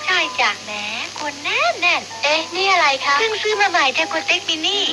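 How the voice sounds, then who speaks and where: A cutesy, chatty lady with an extremely high voice acted out for a parody commercial makes sales small talk. Music plays prominently behind the voice. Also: animated, a little fast, poor audio. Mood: chirpy. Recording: loud music behind clip.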